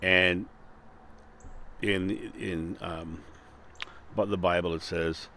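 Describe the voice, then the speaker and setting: A man with a very low, booming voice talks casually to his viewers about spiritual ideas. He is earnest and often trips into restarts and repeated words as he thinks aloud. A faint background hiss sits under the recording. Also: flat delivery, extremely slow, pushed and bright. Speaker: channel host. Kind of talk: casual spiritual talk to camera. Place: Akron, Ohio.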